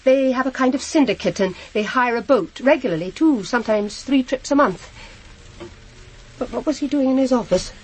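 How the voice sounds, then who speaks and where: An actress playing a shocked, hesitant, proper middle-aged housewife who has been widowed, in a naturalistic radio drama. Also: female voice, sits high, darkish tone, husky. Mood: shocked, bewildered. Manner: halting, flustered, repeats herself. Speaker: actor in a crime drama.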